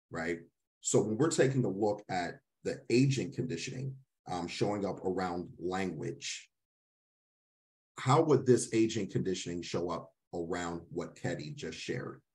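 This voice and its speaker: A man's voice: low voice, darkish tone, rough recording. A man facilitating an interactive workshop, affirming participants and often checking in as he explains.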